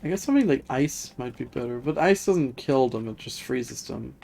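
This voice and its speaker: A woman's voice: a bass voice, animated, normal speed, vocal fry, mellow, slight background noise. A woman game streamer chatting casually and thinking aloud in a rambling, hesitant way. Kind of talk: casual livestream chat. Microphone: streaming setup with webcam. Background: music.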